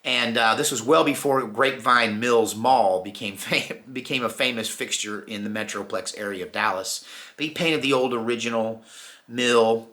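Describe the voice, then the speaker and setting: A middle-aged American man telling personal stories informally, in a folksy, reflective manner with unhurried pauses. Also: medium pitch, pushed and bright. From Texas, United States. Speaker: storyteller, channel host.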